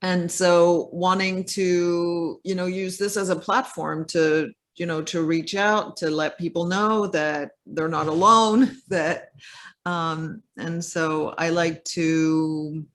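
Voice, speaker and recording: A man being interviewed over a video call, his voice sitting slightly high. He talks casually and hesitantly, circling back with fillers before finishing a thought. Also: little pitch movement, slow, a bit bright. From Belgium or United States. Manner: hesitant, many fillers and restarts. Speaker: interview guest. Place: home in a French village.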